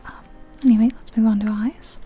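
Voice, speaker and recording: A woman whispering softly and breathily in an unhurried, musing ASMR commentary on a video game. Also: on the high side, fairly even intonation, husky, faint music behind. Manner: hushed, unhurried, musing aloud.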